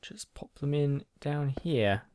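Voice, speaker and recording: A man's voice: a little high, expressive, slow pace, a little mellow, no echo. A man hosting a gaming video, chatting casually as he builds, rambling with ums and restarts. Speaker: gaming channel host. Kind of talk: casual gameplay commentary.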